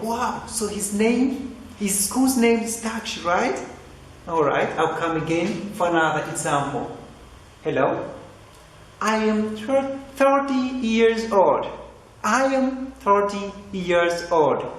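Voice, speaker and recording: Echoey Tanzanian man teaching a class. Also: high-pitched. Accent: Tanzanian English. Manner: repetitive, asks "right?" and "huh?" often, lively gestures. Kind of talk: recorded classroom lesson. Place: empty computer classroom, indoors. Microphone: camera mic at a few feet. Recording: very echoey classroom with faint hiss.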